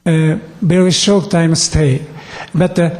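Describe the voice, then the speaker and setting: A man from Japan with a Japanese accent, giving a halting, formal and earnest speech in non-native English with frequent pauses. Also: on the high side, steady tone, darkish tone. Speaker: visiting student delegation leader. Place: council meeting room, public address.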